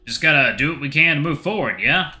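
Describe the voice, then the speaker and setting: A man's voice: fairly high pitch, animated, a little fast, pushed and bright, soft background music, pitched above the performer's own voice. A man playing a stubborn, laid-back grown man with an offhand, defensive way of talking. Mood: firm, consoling.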